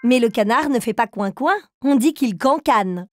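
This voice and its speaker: A woman's voice: fairly high pitch, expressive, normal speed, no room sound, studio quality, lightly acted. A woman playing a kind, teacherly grown-up who encourages small children through riddles in a cartoon. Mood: encouraging.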